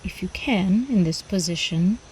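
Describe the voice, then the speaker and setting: A woman playing a predatory older vampire countess in an ASMR roleplay, haughty, teasing and possessive, with breathy drawling speech that often drops into whispers. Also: on the low side, moderate pace, darkish tone, a little hiss or hum, lightly acted, low-quality recording. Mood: haughty, amused. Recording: faint night insect ambience behind.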